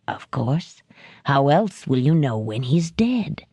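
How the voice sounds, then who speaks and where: A woman voicing a cold, refined and sadistic male crime boss who speaks with soft, clipped menace. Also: very deep voice, very animated, slow, husky, no room sound, exaggerated voice.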